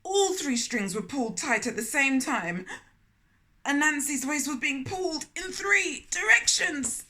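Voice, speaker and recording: Woman, children's storyteller, bright tone, slightly high pitch, slow-paced, expressive, chatty asides to young listeners. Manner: expressive, questioning, talks directly to young listeners. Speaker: storyteller reading a children's book aloud. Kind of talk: animated children's read-aloud with asides to listeners.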